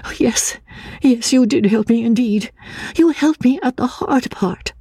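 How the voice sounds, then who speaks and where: A woman reader performs a fragile young woman from a classic audiobook, anxious and easily confused. The voice is high, soft and breathy, with hesitant repeats and small stammers, recorded very dry. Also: measured pace, no room sound. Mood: vague gratitude. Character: timid, confused young woman, asylum escapee, childlike and anxious. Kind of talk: dramatic audiobook reading of classic literature.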